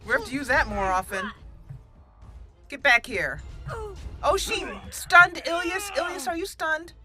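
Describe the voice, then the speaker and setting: A woman giving casual, expressive commentary as she roleplays through a video game, talking to the characters as she plays. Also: high voice, unhurried, soft background music. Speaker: gaming channel host doing a let's play.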